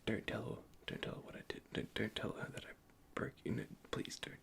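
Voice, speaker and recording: A man playing a nervous, caring android repair technician in an ASMR roleplay, speaking in a soft, breathy half-whisper with hesitant stammers. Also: brisk, lightly acted. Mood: anxious pleading.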